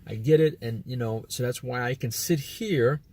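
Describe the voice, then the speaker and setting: A man's voice: medium pitch, normal speed, darkish tone. An American man hosting a video, talking in a loose, rambling monologue and often repeating himself. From United States. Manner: rambling, repeats words, quotes imagined chats. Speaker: video host. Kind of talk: casual monologue to camera.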